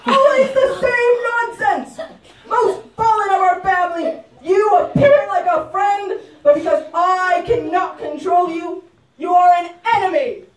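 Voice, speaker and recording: A woman's voice: very high voice, a little slow. A woman voicing a furious, boastful demon king and father in a puppet show, with commanding, theatrical delivery. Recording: echoey room, far from mic.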